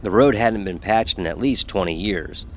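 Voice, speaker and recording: A man's voice: fairly low pitch, moderate pace, slight background noise, lightly acted. A man playing a sarcastic young fraternity pledge who narrates his own story in an audio horror drama, wry and sardonic. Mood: wry storytelling. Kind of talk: scripted first-person horror narration with dialogue.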